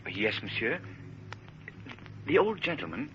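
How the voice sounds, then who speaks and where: An actor playing an earnest, anxious twenty-year-old student in a 1930s radio drama, halting and polite. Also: male voice, mid-range voice, big pitch swings. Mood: uneasy. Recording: muffled, hissy old radio broadcast.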